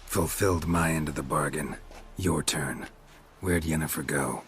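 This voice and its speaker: A man playing a stoic, world-weary monster hunter in a fantasy game, gruff, blunt and terse. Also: rumbling low, measured pace, slight background noise. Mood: dry, accusing. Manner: deadpan, terse. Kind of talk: video game dramatic acting.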